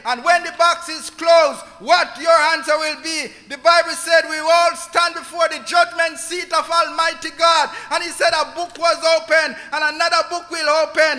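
A man preaching an impassioned sermon, often shouting in a large, echoing hall. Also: very high voice. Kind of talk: fervent sermon. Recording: long hall reverb.

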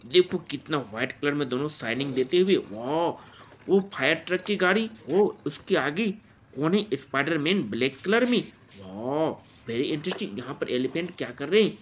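A man's voice: fairly high pitch, slight background noise. An Indian man improvising play-by-play narration of toy play for children in an exclamatory way, with frequent wows and interjections. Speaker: presenter of toy play videos.

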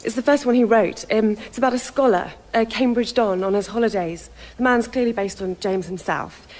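An actress playing a bookish, mild British film crew member, thoughtful and explanatory in a naturalistic radio drama. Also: female voice, a little high, normal speed, darkish tone, close to an everyday voice. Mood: absorbed, explaining. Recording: reverberant hall with faint ambience.

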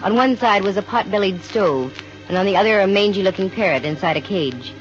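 A woman's voice: medium pitch, animated, brisk, faint music behind, close to an everyday voice. Woman acting as female private eye, dry wit, wisecracking, 1950 radio drama, muffled old recording. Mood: wry narration. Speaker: lead actress and narrator.

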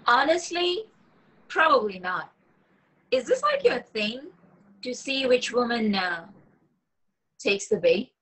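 A woman's voice: a little high, very animated, slow pace, long pauses, pushed and bright, breathy edge, heavily acted, poor audio. A wary young woman on a first date played by an actress at a table read, speaking in natural, everyday dialogue. She sounds like she is on a video call from a room at home. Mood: sceptical. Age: young adult. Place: small room at home, indoors.

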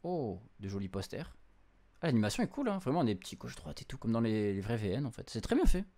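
A man's voice: medium pitch, sing-song, fast, mellow. A young adult man giving casual gameplay commentary, translating on the fly in informal, slangy speech peppered with English words. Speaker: streamer playing a visual novel. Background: game music.

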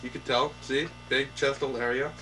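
A man's voice: medium pitch, level tone, normal speed, pushed and bright, faint music behind. A young man hosting a mock late-night talk show, mock-formal and hesitant, full of ums and restarts. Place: small indoor set, couch and table. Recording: distant camera audio with hum.

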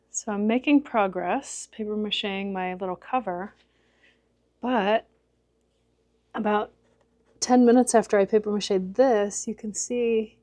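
A woman's voice: mid-range voice, darkish tone, dry recording, studio quality. A woman in her forties casually narrating a do-it-yourself vehicle project, chatty and laughing often, recorded in and around an SUV. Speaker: vlogger. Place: inside an SUV and a carport.

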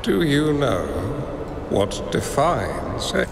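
A gravelly old hermit master. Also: male voice, mid-range voice, very animated, extremely slow, exaggerated voice. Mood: solemn. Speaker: mentor character. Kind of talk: game cutscene voice acting. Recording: roomy reverb under game music.

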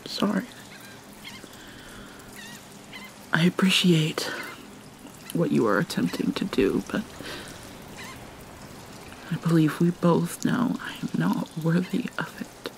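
A haunted vampire mermaid played by a woman speaks slowly and formally, dropping into whispers. She is prickly and weighed down by guilt, yet caring. It is an ASMR roleplay with faint watery noise behind her. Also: lowish voice, vocal fry. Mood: subdued. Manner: halting, formal, slipping into whispers. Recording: noisy ambience with water sounds.

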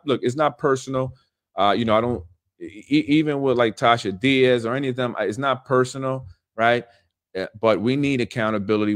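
A man in his thirties hosting a casual political livestream from a small room at home, speaking earnestly with many fillers and repeated phrases. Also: fairly low pitch.

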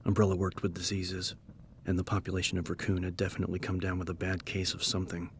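A man narrating a scripted horror story as an audiobook, measured and serious. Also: low voice, monotone, a little hiss or hum.

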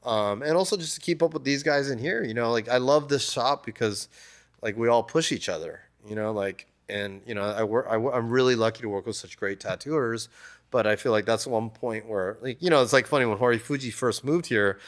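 A man's voice: mid-range voice, a little fast. A man in his thirties, a tattoo artist guest, talking casually and loosely, with lots of "like" and "you know", on a desk mic in a shop. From United States. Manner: relaxed, rambling, many "like" and "you know" fillers. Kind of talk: casual interview talk. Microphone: desk mic on stand.

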